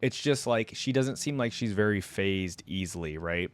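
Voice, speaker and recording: Casual low-voiced man, close dry sound. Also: animated, normal speed, no room sound. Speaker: host reacting to game voice lines. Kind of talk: casual reaction commentary.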